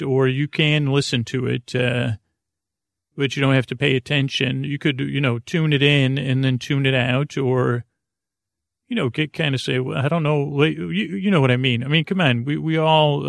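Creaky, rambling male podcast host. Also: mid-range voice, dry recording, studio quality. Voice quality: soft creaky tones. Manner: rambling, easily sidetracked, self-mocking. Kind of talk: rambling bedtime podcast talk.

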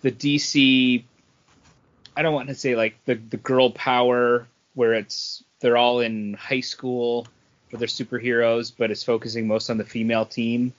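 Mid-pitched man, slightly muffled podcast sound. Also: flat delivery, a little slow. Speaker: podcast co-host reviewing comics. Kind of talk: casual conversational review chat.

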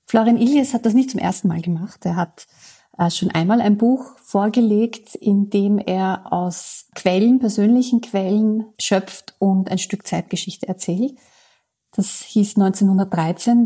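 A woman's voice: medium pitch, mellow, husky. A woman giving a book recommendation as a monologue, in the role of a reviewer.

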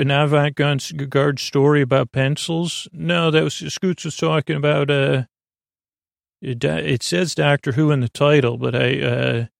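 A man hosting a bedtime podcast in a meandering, halting monologue, with a gravelly voice and a lulling, soothing manner. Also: mid-range voice, no room sound, clean studio sound. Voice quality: gravelly, creaky. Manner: rambling, halting, self-interrupting. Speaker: podcast host.

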